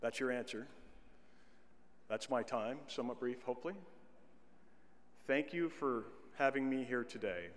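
A middle-aged man speaks from a podium microphone, delivering a commencement address at a medium pitch. He talks in a measured way and slows down to stress key words. A long reverb trails his voice across a large indoor arena. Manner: measured, with slow deliberate emphasis.

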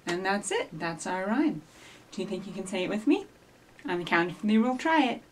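A middle-aged woman hosting a children's storytime, giving simple instructions and reading rhymes to young children in an animated way, recorded by a camera a few feet away. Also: mid-range voice, moderate pace, slight background noise. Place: small indoor office or library room with block walls. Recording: distant camera microphone.

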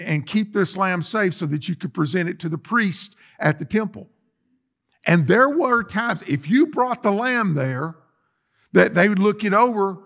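A man's voice: high voice, creaky, fairly bright tone. A middle-aged man preaching as a pastor in a church, explaining things conversationally and putting questions to his listeners. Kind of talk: sermon, conversational teaching. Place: church sanctuary, indoors.

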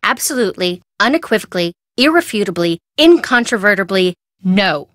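An actress playing a perky, nervous young woman sidekick in a video game, with an American accent and a chatty, wry, expressive delivery. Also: mid-range voice, brisk, dry recording, studio quality, natural voice. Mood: exasperated. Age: young adult. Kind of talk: scripted video game dialogue, phone-call chatter.

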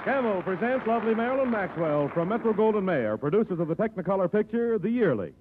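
A man's voice: high voice, big pitch swings, quick pace, background noise. A man reading a sponsor introduction as a 1940s radio announcer. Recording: tinny 1940s radio recording. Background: music.

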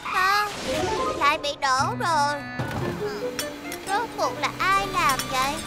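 A small, earnest cartoon street sweeper that sounds forever harried. The voice is extremely high and plaintive, with loud music behind. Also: expressive, slow pace. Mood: frustrated. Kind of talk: animated children's cartoon dub.

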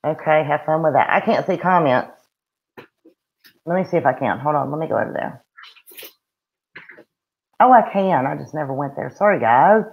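A woman's voice: sits low, expressive, moderate pace, creaky, pauses often. Middle-aged southern woman, blunt and folksy, chatting on a webcam, muffled lo-fi call audio. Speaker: co-host of a true-crime livestream. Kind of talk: casual livestream chat. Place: room at home. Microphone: webcam on a video call. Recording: dull lo-fi video call audio.

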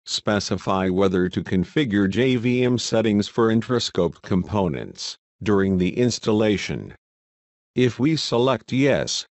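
Guy with a lowish voice doing step by step software tutorial voiceover, measured, dry recording. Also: no room sound. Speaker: tutorial narrator. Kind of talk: scripted software tutorial narration.

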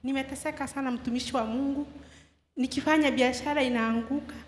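A middle-aged woman with a Kenyan accent giving personal testimony as a congregant, pausing for an interpreter. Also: high-pitched, fairly even intonation. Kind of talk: testimony in short segments for interpreter. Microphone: handheld mic held to her mouth. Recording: echoey noisy hall.

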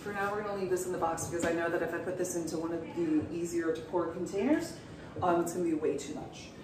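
A woman's voice: lowish voice, fairly even intonation, fast talker. A woman in her thirties chatting to her viewers while she puts away groceries in her home kitchen, filmed on a phone. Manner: chatty, asks viewers questions. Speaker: vlogger. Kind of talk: casual chat to camera. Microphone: handheld phone, often set down at a distance. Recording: echoey kitchen, mic far off, noisy.

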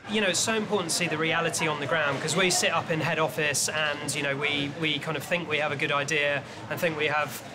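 A man's voice: on the high side, steady tone, fast, few pauses, crowd noise, rough recording. A British man in his thirties chatting casually at work in an upbeat, polite manner. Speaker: company boss. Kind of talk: casual workplace chat. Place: inside a busy supermarket. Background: prominent music.

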